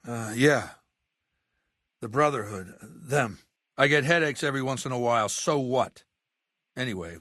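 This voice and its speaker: A man playing an aging, imperious warlord dictator in a video game, with a measured, commanding and menacing manner. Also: medium pitch, a bit bright, slightly rough, no room sound, clean studio sound, heavily acted. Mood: faltering, evasive. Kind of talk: video game character dialogue.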